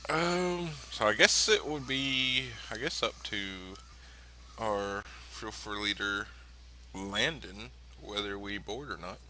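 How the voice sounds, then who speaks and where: A man's voice: on the low side, slow, sharp tone, slight background noise, low-quality recording. A man talking casually and informally as a player in a tabletop role-playing game, often feeling his way through a thought. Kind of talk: casual group chat during a tabletop game.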